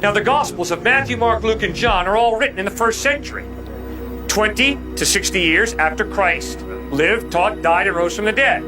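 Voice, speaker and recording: A man with a distinctly high voice argues like an impassioned street apologist. He is emphatic and colloquial, leaning on rhetorical questions. Music plays clearly behind him. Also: normal speed, rough recording. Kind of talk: impassioned open-air apologetics debate.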